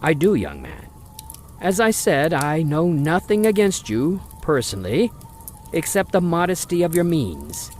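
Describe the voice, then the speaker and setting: A man's voice: fairly high pitch, very animated, measured pace, a little hiss or hum. A man playing a stern, condescending wealthy father who refuses a suitor, in an old-time radio drama. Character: stern wealthy older father, Mister Spencer. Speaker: voice actor.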